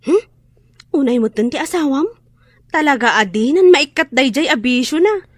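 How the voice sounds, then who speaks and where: An actress playing a blunt, scolding friend in a radio drama, speaking with an Ilocano accent. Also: female voice, high-pitched, expressive, normal speed, no room sound. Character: blunt, scolding woman friend refusing to lend money.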